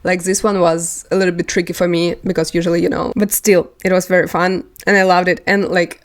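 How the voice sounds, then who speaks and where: A woman's voice: lowish voice, level tone, brisk. Chatty woman, English not her first language. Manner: chatty, rambling, laughs often. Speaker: book vlogger. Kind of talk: casual chat.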